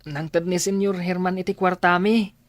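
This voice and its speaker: A woman's voice: lowish voice, little pitch movement, moderate pace, dry recording, lightly acted. A woman playing a polite, cheerful young school pupil in an Ilocano radio drama, respectful and eager. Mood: respectful, glad.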